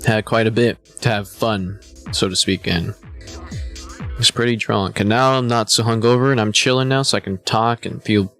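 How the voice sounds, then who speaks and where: A man's voice: lowish voice, steady tone, normal speed, a bit bright, soft background music. A man in his twenties chatting casually to his live stream viewers, a bit hungover, rambling and laid-back with lots of "man" and "you know.". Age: 20s. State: a little hungover.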